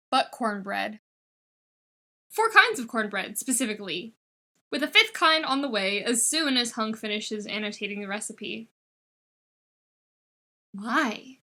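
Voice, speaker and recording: A woman reading a story aloud as an expressive narrator of scripted fiction. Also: on the high side. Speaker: podfic reader.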